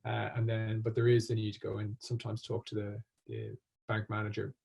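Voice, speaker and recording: Gravelly, husky man giving a halting presentation. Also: on the low side, little pitch movement, darkish tone. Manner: hesitant, halting delivery with frequent ums. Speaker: conference speaker. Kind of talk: business presentation.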